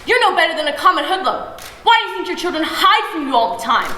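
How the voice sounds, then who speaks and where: A woman voices a desperate mother screaming back, high and sharp. The sound rings in a roomy space with long reverb. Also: sing-song, fast talker, full character voice.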